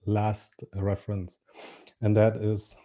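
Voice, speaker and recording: German-accented man, dark mellow voice. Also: on the low side, monotone. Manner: halting, many fillers and restarts, repeats "with that being said". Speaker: marketing webinar presenter. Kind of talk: webinar presentation.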